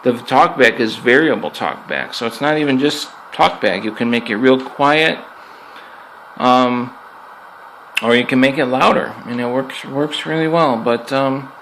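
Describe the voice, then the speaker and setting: A man with an ordinary mid-pitched voice talks through a piece of gear in a loose, off-the-cuff way. He is plainspoken and tends to restate points for emphasis. It sounds like an amateur recording made in an apartment, with noise in the background. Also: fairly bright tone, poor audio. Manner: plainspoken, conversational, repeats himself for emphasis. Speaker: amateur product reviewer and radio hobbyist. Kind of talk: casual unscripted hands-on review. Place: indoors in an apartment.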